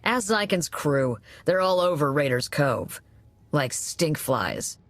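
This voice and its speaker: A woman's voice: low voice, very animated, slow, no echo, full character voice. A woman playing a wry, unfazed cantina keeper in a video game, sardonic and nonchalant. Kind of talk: video game character acting.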